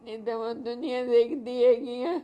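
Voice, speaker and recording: An elderly woman, tearful and halting, speaking with gratitude about the people who care for her. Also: on the high side, steady tone, dark tone. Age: older. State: crying. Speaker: elderly mother being visited. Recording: dull lo-fi sound.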